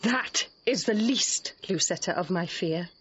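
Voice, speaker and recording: A woman's voice: lowish voice, measured pace, highs cut off, slight background noise, natural voice. An actress playing a headstrong, playful young noblewoman in love, speaking Shakespearean verse with ardent lyricism in an audio drama. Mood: earnest, devoted.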